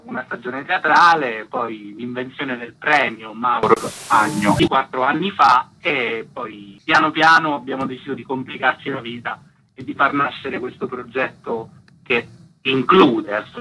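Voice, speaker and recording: A man's voice: mid-range voice, pushed and bright, slightly rough, poor audio. A man answering interview questions as an artistic director, explaining his project. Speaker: interview guest, theatre festival artistic director.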